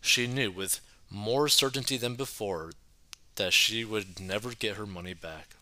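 Man with a sharp, bright voice. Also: fairly low pitch, unhurried. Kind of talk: reading an article aloud, then casual commentary.

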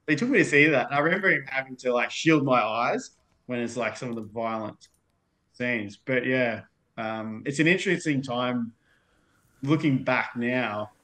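A man's voice: mid-range voice, normal speed, fairly bright tone. Casting: Australian man, thirties, easygoing podcast guest, rambling chat. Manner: meandering, full of fillers and restarts. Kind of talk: casual chat.

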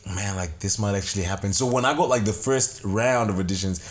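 A man's voice: on the low side, a little fast. A young man from Zimbabwe, a podcast guest telling stories in a casual, laughing way. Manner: animated, laughing storyteller. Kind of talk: casual chat.

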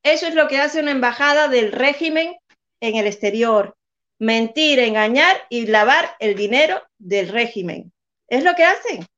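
Brief: young woman, Spanish accent, slightly high voice, impassioned and repetitive, dull lo-fi sound. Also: expressive, moderate pace, fairly bright tone. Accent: Spain Spanish. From Cuba. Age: young adult. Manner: impassioned, repeats phrases for emphasis. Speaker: guest, civic group representative. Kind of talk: earnest advocacy in a live chat.

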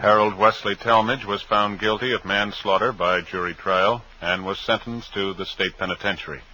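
An authoritative announcer voiced by a man in 1950s radio style. His low voice is formal and measured. Loud music plays behind him on a vintage broadcast recording. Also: steady tone, muffled recording, close to an everyday voice.

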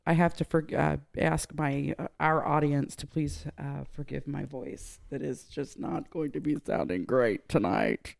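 A hoarse woman co-hosting a casual podcast, explaining X-ray anatomy in a chatty way. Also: low-pitched, a little slow, hardly any pauses, a little mellow. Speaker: podcast co-host.

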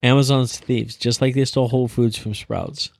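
A man's voice: mid-range voice, steady tone, normal speed, vocal fry, dry recording. A man chatting informally as a podcast co-host, rambling as he goes. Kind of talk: casual chat.

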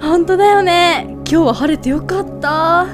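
A woman playing a sweet, excitable young woman in love in an amateur radio drama, gushing with wonder. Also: extremely high pitch, a little slow, music in the background. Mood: dreamy, delighted.

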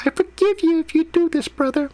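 A man voicing a tearful, devoted little ghost girl in a soft falsetto for a story. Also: brisk, no room sound. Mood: sad, resigned.

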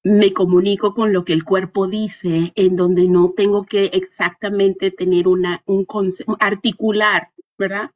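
A woman's voice: medium pitch, little pitch movement, unhurried, hardly any pauses, dull audio. A woman from Caracas with a Venezuelan accent, answering reflectively in an interview, thoughtfully searching for words and checking in with small tag questions. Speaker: interview guest, dancer and artist.

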